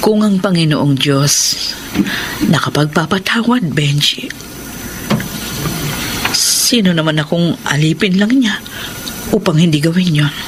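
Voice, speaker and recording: An actress playing a pious, forgiving older aunt and mayor in a Filipino radio drama, gentle and solemn with a Filipino accent. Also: female voice, low voice, unhurried, long pauses, noisy background. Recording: very loud music underneath.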